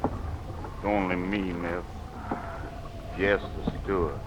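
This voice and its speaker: A very deep-voiced ship's steward, humble and full of foreboding. His folksy speech is vintage radio acting, with clear noise behind it. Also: level tone, slow pace. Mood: ominous, hushed. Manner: uneducated folksy grammar. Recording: noisy old radio drama recording.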